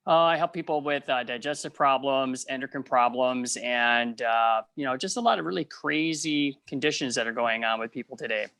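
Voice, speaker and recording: A man's voice: medium pitch, moderate pace, hardly any pauses, a bit bright, smooth, lightly acted. A man playing an earnest veteran doctor as a game show contestant, speaking plainly and hesitantly. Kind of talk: improvised game show parody.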